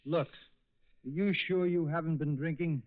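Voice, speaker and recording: Male actor for urgent policeman, concerned and questioning, higher-set voice, 1940s radio drama, lo-fi with some noise. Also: steady tone, measured pace, natural voice. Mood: suspicious. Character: brisk, concerned policeman helping a crashed driver. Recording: noisy band-limited 1940s radio recording.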